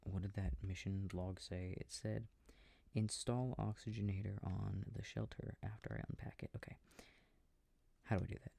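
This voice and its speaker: A man playing an affectionate, easily confused boyfriend in a soft sleep-aid roleplay, muttering casually and hesitantly to himself over a video game, close to the microphone. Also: on the low side, flat delivery, normal speed, gravelly, no room sound. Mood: puzzled, absorbed. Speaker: audio roleplay performer. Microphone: close microphone, dry. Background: controller clicking sounds.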